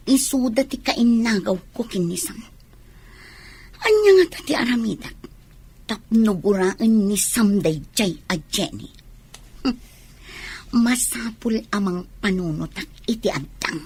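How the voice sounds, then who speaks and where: A woman's voice: medium pitch, sing-song, measured pace, pauses often, a little mellow, slightly rough, heavily acted. A woman with an Ilocano accent playing a scheming woman in a radio drama. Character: scheming woman plotting against a rival.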